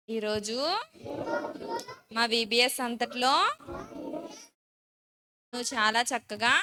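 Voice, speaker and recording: A woman's voice: high voice, sharp tone, slight background noise. A woman, a Sunday school teacher instructing and leading children firmly in short phrases.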